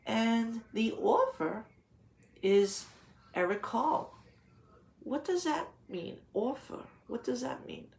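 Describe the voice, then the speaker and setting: A woman's voice: a little high, sing-song, takes it slowly, creaky, a little mellow. A woman teacher reading a picture book aloud to young children, patiently explaining in simple words and putting questions to the listeners.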